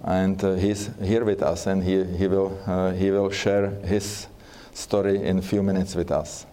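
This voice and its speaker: Slow, deep Czech-accented man. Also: flat delivery, mellow. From Czech Republic. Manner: halting, many fillers and restarts. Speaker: seminar speaker. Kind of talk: church seminar talk.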